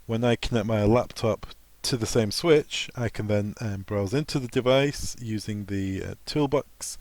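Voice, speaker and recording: A man's voice: mid-range voice, a little mellow, no room sound. Man giving a step-by-step technical tutorial. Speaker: technical trainer presenting a tutorial. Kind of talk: software walkthrough tutorial, informal instruction.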